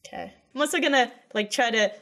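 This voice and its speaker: A young woman playing a tabletop role-playing game, chatty, thinking out loud and laughing now and then. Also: on the high side, fast talker, soft background music. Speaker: player.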